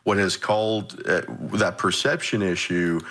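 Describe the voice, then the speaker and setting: A man with a deep voice speaks as a state lawmaker on a radio panel. He explains his political views in a measured, off-the-cuff way. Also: fairly even intonation, a bit bright. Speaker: state legislator, panel guest. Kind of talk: unscripted political discussion.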